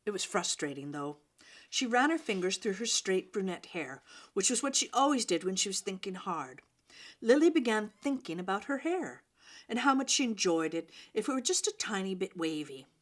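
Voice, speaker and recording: A woman reading a children's story aloud in an expressive, dramatic way, with pauses and emphasis for young listeners. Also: mid-range voice.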